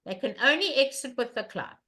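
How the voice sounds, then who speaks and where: An older woman leads an online bridge lesson with a loud voice. Her tone sits high and bright, and she hammers home points and repeats her questions to the class. She is heard through a webcam at home. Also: expressive, brisk. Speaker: bridge teacher.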